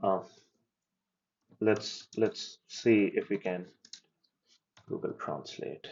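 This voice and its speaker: A man explaining and demoing his software project in a casual walkthrough, hesitating with ums and restarts as he goes. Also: fairly low pitch, steady tone, extremely slow, gravelly, lo-fi sound. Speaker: developer giving a project demo. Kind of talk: casual screen-share demo walkthrough.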